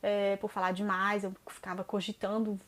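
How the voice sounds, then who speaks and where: A woman's voice: mid-range voice, little pitch movement, normal speed. A Brazilian woman in her thirties with a Brazilian Portuguese accent, answering viewer questions in a chatty personal vlog, with many "né?" tags and some hesitant restarts. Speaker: vlogger.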